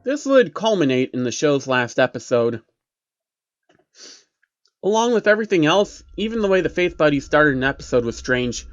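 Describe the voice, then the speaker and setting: A man's voice: a little high, sing-song, normal speed, no echo, dull audio. A man narrating a creepy first-person story as a storyteller. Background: background music.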